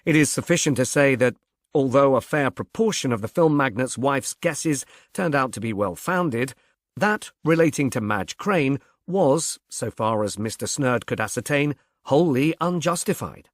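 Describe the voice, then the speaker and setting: A man reading literary narration in a measured, formal, gently wry manner. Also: mid-range voice, animated, no echo, clean studio sound. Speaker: audiobook narrator.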